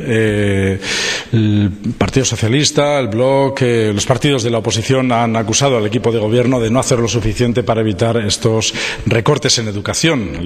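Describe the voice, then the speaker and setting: A man with an accent from Spain puts questions as a local TV interviewer, phrasing them in a hesitant, roundabout way. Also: fairly low pitch. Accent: Spanish from Spain. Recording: reverberant hall-like room.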